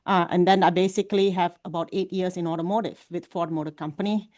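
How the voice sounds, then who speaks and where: Woman panelist, fluent and steady. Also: on the low side, fast talker. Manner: fluent, with occasional fillers. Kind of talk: panel discussion introduction.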